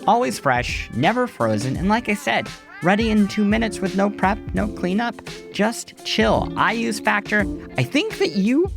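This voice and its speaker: A man's voice: sits high, big pitch swings, moderate pace, vocal fry, over music. A man hosting a podcast, reading scripted narration in an articulate, emphatic way. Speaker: podcast host.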